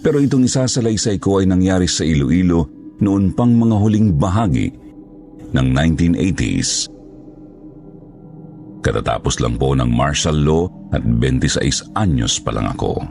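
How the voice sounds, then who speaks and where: An aging former crook confessing in a horror story, read by a man. The voice is extremely deep and gravelly, earnest and regretful as he recounts his past. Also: big pitch swings, moderate pace, pauses often, a little mellow, faint music behind, heavily acted. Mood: earnest, guarded. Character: regretful older male ex-criminal telling his past. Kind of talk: first-person scripted horror storytelling.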